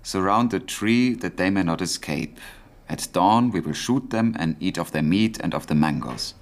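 An actor playing a composed, businesslike intelligence officer in a German radio drama, speaking in a measured, dry manner. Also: male voice, sits low, lively intonation. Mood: measured reading.

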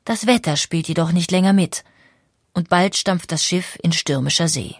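Low-pitched woman narrator. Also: moderate pace, dry recording, clean studio sound. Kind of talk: scripted documentary narration. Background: music.

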